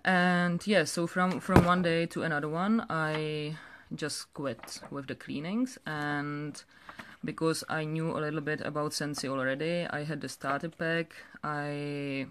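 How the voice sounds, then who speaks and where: Flat-toned young woman with a Czech accent. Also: low voice, a little hiss or hum. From Czech Republic. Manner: halting, repeats words. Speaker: direct sales director telling her story. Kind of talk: unscripted personal story to camera. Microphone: phone or webcam held close.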